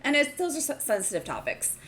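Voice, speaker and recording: A woman hosting a tabletop game as game master, with a playful, animated manner. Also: high-pitched, fairly quick.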